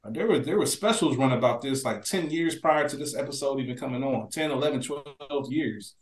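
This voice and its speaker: A man's voice: mid-range voice, fairly quick. A man co-hosting a podcast, chatting casually with slang, swearing and strong opinions, in an echoey room. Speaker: podcast co-host. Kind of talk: casual chat.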